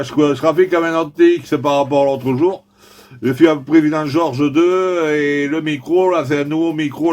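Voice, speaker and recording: A man's voice: a little high, a little mellow. Guy chatting on cb radio, rambly and casual, lots of little filler tags. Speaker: CB radio operator. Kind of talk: casual amateur radio chat.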